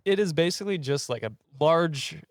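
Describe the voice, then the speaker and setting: A man with a slightly high voice tells comic stories as a casual podcast co-host. He trips over his words and giggles as he goes, swearing freely. The sound is close and dry. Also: animated, moderate pace, no room sound. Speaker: podcast co-host telling the story. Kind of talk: casual comedic storytelling.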